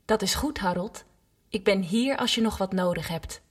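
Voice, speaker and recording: A woman's voice: medium pitch, moderate pace, breathy edge, natural voice. A woman voicing a polite, formal home computer alarm in a scripted radio play. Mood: polite, neutral. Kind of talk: scripted wake-up announcement.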